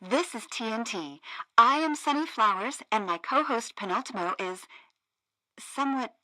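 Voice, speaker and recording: A perky female radio DJ in a satirical video game, a breezy hippie type. Her voice is bright and swings widely in pitch, with theatrical patter. It is recorded dry. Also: lively intonation, normal speed, no room sound, poor audio. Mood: cheerful. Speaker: radio co-host.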